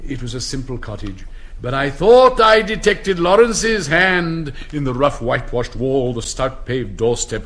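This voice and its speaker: A man's voice: medium pitch, a bit bright. An older man acting a pompous, doddery public-school headmaster with an upper-class English accent, declaiming theatrically with hesitant asides. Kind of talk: theatrical stage comedy acting, oratorical.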